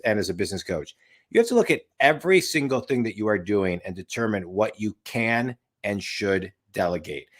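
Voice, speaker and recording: A man's voice: mid-range voice, fairly bright tone. A man in his late forties hosting an interview over a video call from a home office, talking in an emphatic, rambling way and repeating his points. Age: 40s. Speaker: podcast host and business coach. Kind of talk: casual interview chat.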